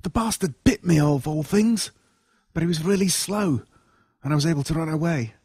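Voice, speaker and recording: A man plays a scared, worn-out boy from a horror audiobook with an Australian accent. The voice sits well above a grown man's usual pitch, with emphatic, exclaiming delivery and a slightly rough edge. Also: normal speed, mellow, no echo, clean studio sound. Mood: pained, aggrieved. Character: scared, hurt boy, drained and bewildered best friend. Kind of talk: acted dialogue in horror audiobook.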